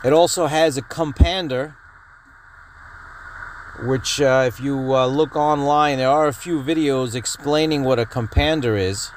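A man's voice: medium pitch, measured pace. A man hosting a hobby video, talking through a product in an informal, matter-of-fact way. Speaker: host of a radio-hobby video channel. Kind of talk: casual product announcement and feature rundown. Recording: clear steady background noise.

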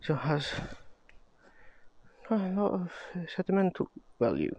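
A man in his thirties talking to a handheld phone camera outdoors in a pine forest, in a slow, muttering casual vlog and swearing under his breath. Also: high-pitched, huge pitch swings, lo-fi sound. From Scotland. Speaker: vlogger.

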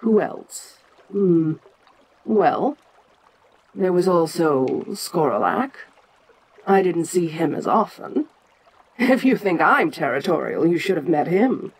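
A woman playing an ancient, imperious giant monster queen in an audio roleplay, speaking in a regal, deliberate and musing manner with frequent pauses. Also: lowish voice, expressive, husky, exaggerated voice, low-quality recording. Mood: reflective, proud. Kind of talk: slow in-character audio roleplay monologue.

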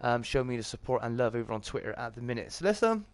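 A British man with a slightly croaky, just-woken voice, hosting a casual livestream and greeting viewers chummily. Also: mid-range voice, sing-song, fast talker, no room sound. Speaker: live streamer and host.

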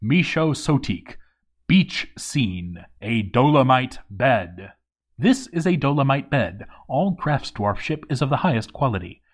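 A man's voice: mid-range voice, sing-song, a little slow. A man reading a scripted game story in character, with wry, sarcastic asides. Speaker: narrator of a game story read-through.

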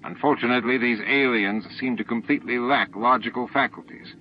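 A man's voice: mid-range voice, a little hiss or hum, close to an everyday voice. Man reading as a formal, commanding alien hive leader. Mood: falsely regretful. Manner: measured, formal, falsely regretful. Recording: dull lo-fi tape audio with music.

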